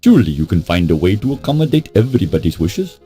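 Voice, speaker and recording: An actor voicing a formal, polite but insistent Egyptian official in a video game. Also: male voice, low-pitched, sing-song, warm tone, slightly rough, full character voice. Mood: pleading, diplomatic. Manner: courteous, formal, measured diplomacy. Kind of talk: theatrical video game voice acting. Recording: music under the voice. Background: synthesized game music.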